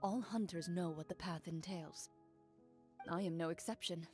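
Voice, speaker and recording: A woman playing a composed, honourable hunter and duelist in a video game, speaking in a measured, formal way. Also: on the low side, dark tone, faint music behind, lightly acted. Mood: resolute.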